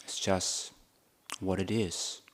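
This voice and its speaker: A man guiding a meditation as a Buddhist teacher, speaking in short, measured phrases with long pauses between them. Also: sits low, level tone, fairly bright tone. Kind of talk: guided meditation talk.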